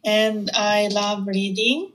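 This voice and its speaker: A woman from the Philippines with a Filipino accent, teaching English online in an encouraging manner with many soft backchannels. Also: medium pitch, steady tone, slow pace, low-quality recording. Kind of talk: friendly tutoring conversation.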